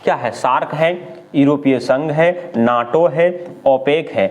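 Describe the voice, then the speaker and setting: Male exam tutor, slightly high voice, drills questions and answers with emphatic repetition. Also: slow, darkish tone. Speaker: teacher presenting exam questions.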